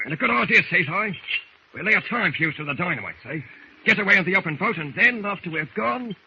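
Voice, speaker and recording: A scheming Cockney henchman from a 1940s radio serial, with a very sharp, forceful, fairly high voice. He rattles off rough sailor slang impatiently on a muffled old recording with faint noise. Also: animated, fast talker, heavily acted. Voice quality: rough nautical slang, clipped questions.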